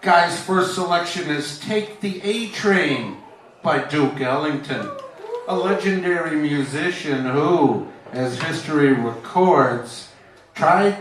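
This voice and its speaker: Man playing a slow, showy old-time radio announcer. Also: on the high side, fairly bright tone, slight background noise. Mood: showy, salesmanlike. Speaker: announcer, narrator. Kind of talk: 1940s radio announcing parody. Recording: echoey, distant, with music behind.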